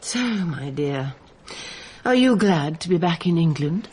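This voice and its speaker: An actress playing a scheming aristocratic mother who speaks in a measured, calculating way. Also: fairly low pitch, expressive, darkish tone, slightly rough. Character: scheming aristocratic mother, older woman, mercenary. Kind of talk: BBC radio drama acting.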